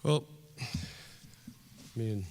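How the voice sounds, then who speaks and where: A man's voice: drawn-out words, darkish tone, a little hiss or hum. A young man running for school board, giving plainspoken, unpolished debate answers with many fillers. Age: young adult. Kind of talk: town hall debate statement. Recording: reverberant hall.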